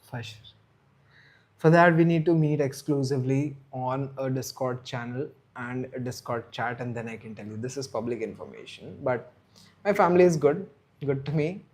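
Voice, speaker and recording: Male streamer, 30s, mid-pitched, loose rambling chat with viewers. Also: normal speed, a little mellow. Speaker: livestream host. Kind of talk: casual live stream chat.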